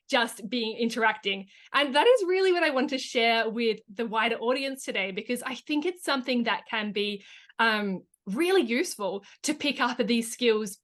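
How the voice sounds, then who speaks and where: A woman's voice: on the high side, fairly bright tone. A woman hosting an interview, enthusiastic and chatty, with many casual likes. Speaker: host. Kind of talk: interview host.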